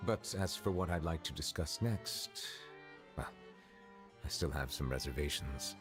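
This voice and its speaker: An actor playing a reserved, dignified chief judge in a video game, speaking in a measured, formal, cautious way. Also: male voice, rumbling low, music in the background. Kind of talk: scripted video game acting.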